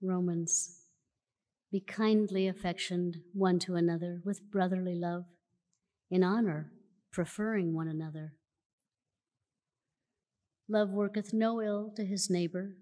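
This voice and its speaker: A woman reading scripture aloud at a church lectern in a measured, solemn way, recorded in a large church auditorium. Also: fairly low pitch, fairly even intonation, mellow. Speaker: church reader. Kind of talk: slow formal scripture reading. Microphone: lectern mic from a far camera. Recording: long church hall reverb.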